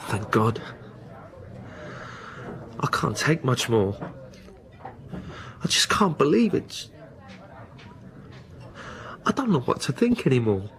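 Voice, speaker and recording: A man's voice: mid-range voice, big pitch swings, slow, pauses often, a bit bright, heavily acted. A man playing an anxious, needy character with a guilty secret in a naturalistic radio drama, hesitant and pleading. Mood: distressed. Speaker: actor in a radio crime drama. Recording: faint background noise and ambience.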